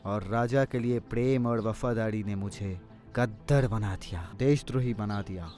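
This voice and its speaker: A man's voice: lowish voice, moderate pace, a little mellow, soft background music, close to an everyday voice. A man voicing an honourable, wary fugitive warrior with a grave, solemn manner in a cartoon story. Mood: solemn, kindly. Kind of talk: Hindi cartoon moral-story voice acting.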